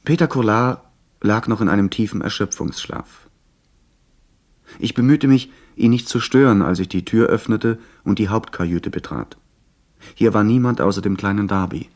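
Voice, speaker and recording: A young gentleman played by a man recounts his seafaring adventure in a fairly low voice. His radio-drama narration is composed, literary and clearly scripted. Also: moderate pace, natural voice.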